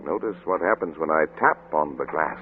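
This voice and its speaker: An actor playing an arrogant, obsessed middle-aged scientist, brisk and lecturing, in old radio drama style. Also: male voice, rumbling low. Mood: curt, insistent. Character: obsessed, arrogant male scientist, cold and impatient. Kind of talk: 1940s radio drama acting. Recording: narrow-band, noisy old radio recording.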